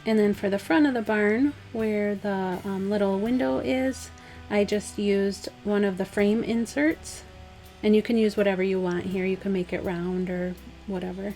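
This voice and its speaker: A woman with a slightly hoarse, dry voice, casually talking through a DIY craft project step by step. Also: medium pitch, normal speed, soft background music. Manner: relaxed, chatty, step-by-step instructions with fillers. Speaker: craft vlogger. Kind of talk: casual DIY tutorial voice-over.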